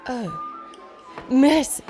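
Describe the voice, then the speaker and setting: High-voiced, flustered young noble bride. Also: female voice, lively intonation, extremely slow, dark tone, soft background music, exaggerated voice. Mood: anxious, baffled. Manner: hesitant, flustered, formal. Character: nervous, naive young noble bride, hesitant and proper. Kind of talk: period comedy radio play acting.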